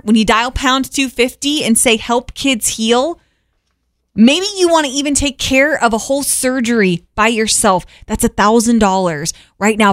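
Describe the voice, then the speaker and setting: A woman's voice: high-pitched, no room sound, studio quality. A woman hosting a radio fundraising appeal, urging listeners in a persuasive, urgent way. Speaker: radio host.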